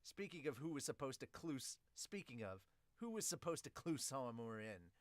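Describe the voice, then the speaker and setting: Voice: medium pitch, sing-song, a little fast, no echo, close to an everyday voice. A podcaster voicing a scornful young male ballplayer who mutters insults in exasperation. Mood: irritated.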